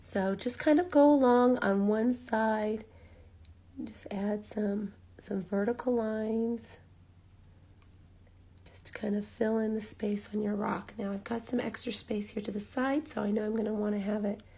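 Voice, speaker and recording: A woman with a hoarse, raspy voice leading a live craft tutorial, chatty with lots of ums, dropping almost to a whisper as she works. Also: mid-range voice, measured pace. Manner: chatty, relaxed, frequent ums, drops to near-whisper while focusing. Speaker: rock painting instructor.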